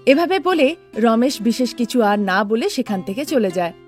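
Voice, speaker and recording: A woman with a very high voice narrates a scripted story. Soft music plays faintly behind her storytelling. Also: animated, moderate pace.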